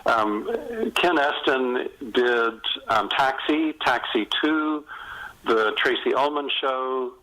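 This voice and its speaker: Bright-voiced middle-aged man in interview. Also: mid-range voice, lively intonation, slow pace, low-quality recording. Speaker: author being interviewed. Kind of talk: conversational podcast interview.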